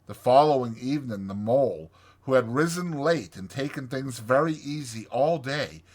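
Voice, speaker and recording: A man narrating a dramatized audiobook story in a measured, clearly phrased and expressive way. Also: mid-range voice.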